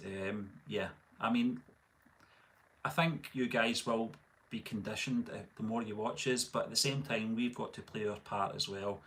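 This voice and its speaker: Gravelly low-voiced Scottish man. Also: slight background noise. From Scotland. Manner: relaxed, rambling with many fillers and restarts. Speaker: channel host. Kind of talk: casual live-stream chat, reading comments.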